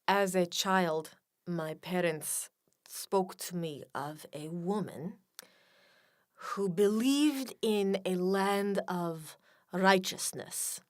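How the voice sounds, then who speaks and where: An actress playing a guarded, wry Soviet embassy woman in a radio play, coolly persuasive, with measured, formal phrasing and deliberate pauses. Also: lowish voice. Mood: grave storytelling.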